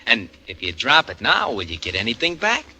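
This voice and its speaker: An actor playing a scheming grown son in a 1940s radio drama, wheedling and slangy, tagging lines with huh and no. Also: male voice, medium pitch, wildly swooping pitch, fairly quick, sharp tone, husky, full character voice. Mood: slick, persuasive. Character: slick, scheming, pushy grown son, small-time hustler. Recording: noisy old radio broadcast recording.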